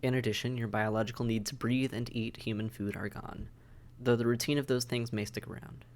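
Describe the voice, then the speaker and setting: A man's voice: medium pitch, steady tone, fairly quick, natural voice. A man playing a weary vampire intake officer in an audio roleplay, matter-of-fact and bureaucratic, with dry hesitation, speaking straight to the listener. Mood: clinical, matter-of-fact. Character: weary, businesslike male vampire intake officer mentoring newcomers. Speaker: medical examiner and caseworker.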